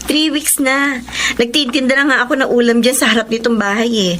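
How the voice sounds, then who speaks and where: A woman's voice: fairly high pitch, fairly even intonation, fairly quick, a bit bright, slight background noise, lightly acted. A Filipina actress playing a wronged but resolute young single mother in a radio drama, earnest and emotional. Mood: earnest, subdued.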